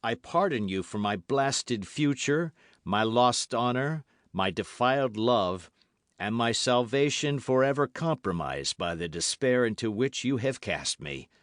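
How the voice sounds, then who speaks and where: A man voicing a cold, stern nobleman who speaks in a low, measured way. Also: expressive, fairly bright tone, no room sound, clean studio sound.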